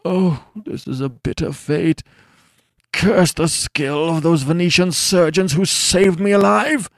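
A man's voice: fairly high pitch, slow, creaky, no echo, studio quality, pitched above the performer's own voice. A man voicing a disfigured, anguished young husband who speaks in a mournful, pleading way.

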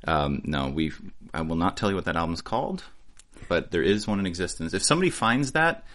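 A man's voice: low voice, fairly quick. A man from Sweden, a native Swedish speaker, chatting casually and joking as an interview guest. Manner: relaxed, joking, laughs often. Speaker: music producer, guest.

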